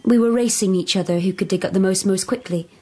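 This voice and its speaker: A woman's voice: mid-range voice, fast talker, a little mellow, lightly acted. A woman playing a naive, eager young wild girl in a radio drama, with a direct, careful way of talking. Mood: earnest.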